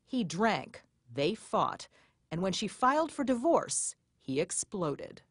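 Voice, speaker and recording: A woman correspondent narrating a scripted television news feature in a lively, expressive way. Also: medium pitch, slow pace, breathy edge, no room sound, clean studio sound. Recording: music bed underneath.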